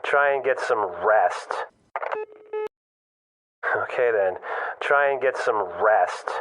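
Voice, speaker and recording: Guy reading a polite office manager on the phone, mid pitch, slow clear lesson lines. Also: a bit bright, a little hiss or hum, poor audio. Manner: clear, careful scripted delivery. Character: polite male office manager taking a call. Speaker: dialogue reader in an English listening lesson. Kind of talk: scripted role-play dialogue.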